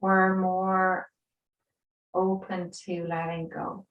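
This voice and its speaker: Female meditation guide, lowish voice, very slow and soothing, recorded at a distance in a roomy space. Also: flat delivery, phone-quality sound, a little hiss or hum. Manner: soothing, gentle, unhurried with pauses. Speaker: yoga instructor. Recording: roomy echo, distant microphone.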